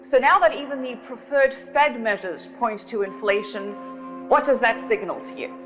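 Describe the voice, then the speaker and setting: A woman's voice: on the high side, normal speed, poor audio. A woman interviewing a guest in a broadcast news style, putting formal questions. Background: background music.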